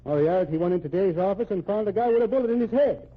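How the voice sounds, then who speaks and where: An actor playing a talkative, confident Irish police officer with folksy, ungrammatical speech in an old radio drama. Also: male voice, high voice, expressive, fast talker, breathy edge. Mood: assured, matter-of-fact. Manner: folksy, ungrammatical, blunt talk. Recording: muffled, narrow-band old radio recording.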